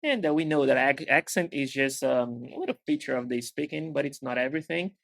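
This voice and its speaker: A young Brazilian man with an American-leaning accent, co-hosting a relaxed English-learning podcast with frequent fillers. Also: medium pitch, brisk, creaky, dry recording. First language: Portuguese. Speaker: podcast co-host. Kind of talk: casual podcast chat in English.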